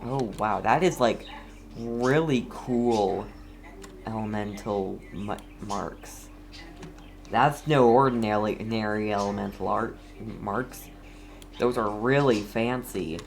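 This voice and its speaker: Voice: mid-range voice, slow, creaky. A streamer giving casual gameplay commentary, playful and mock-scolding, often talking straight to the game. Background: game music.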